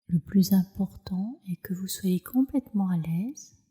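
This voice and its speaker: A woman leading a guided relaxation meditation as a yoga nidra teacher, in a soft, soothing, measured way with breathy phrase endings. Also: medium pitch.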